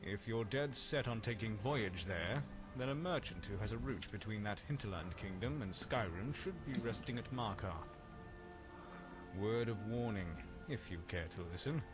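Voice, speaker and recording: A man's voice: lowish voice, lively intonation, moderate pace, over music, natural voice. A man playing a stern, skeptical traveling companion in a fantasy game, with formal and wary delivery. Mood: skeptical, worried.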